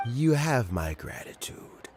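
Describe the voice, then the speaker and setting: An elderly, courteous game statesman, speaks slowly in a weary, reflective way. His voice is lowish with expressive rises and falls, set over music. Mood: grateful, relieved. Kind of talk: video game voice acting. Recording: music behind the voice.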